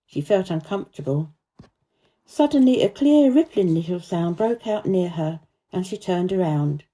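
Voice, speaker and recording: Female storyteller for children's book narration, very mellow, expressive pitch movement. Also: normal speed, low-quality recording.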